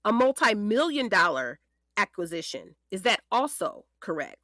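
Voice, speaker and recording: An actress playing a determined defense attorney, questioning in a deliberate, emphatic courtroom manner for an audio drama. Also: female voice, mid-range voice, sing-song, bright, forceful tone, no echo, clean studio sound. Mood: pointed, insistent. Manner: deliberate, emphatic cross-examining. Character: determined female defense attorney, pointed and composed.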